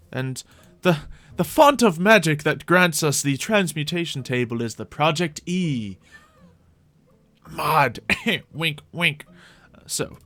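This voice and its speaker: A man's voice: mid-range voice, a bit bright. A man hosting a gaming series, thinking aloud in light roleplay with mock-archaic, formal fantasy phrasing. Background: music.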